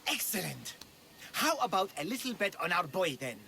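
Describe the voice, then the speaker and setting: A man's voice: high voice, very animated, normal speed, vocal fry, sharp tone, a little hiss or hum, exaggerated voice. An actor playing a jovial, scheming male betting man in a video game. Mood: conspiratorial. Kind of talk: video game dialogue acting.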